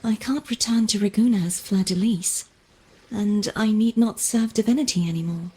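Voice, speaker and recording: A woman voicing a soft, breathy, wistful swordswoman. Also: mid-range voice, moderate pace, lightly acted. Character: gentle, weary young noblewoman swordfighter.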